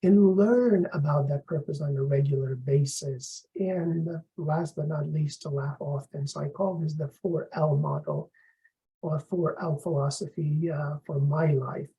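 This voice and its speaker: A middle-aged man from Afghanistan, a professor introducing his course in a measured, explanatory lecturing manner. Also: on the high side, steady tone, gravelly, mellow, highs cut off.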